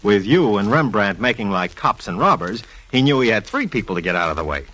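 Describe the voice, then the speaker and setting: A man's voice: on the low side, very animated, a little fast, pushed and bright, heavily acted, rough recording. An actor playing an exasperated, teasing male police lieutenant with slangy, sardonic talk in an old radio drama. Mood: explaining. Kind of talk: 1940s radio drama acting. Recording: vintage radio recording.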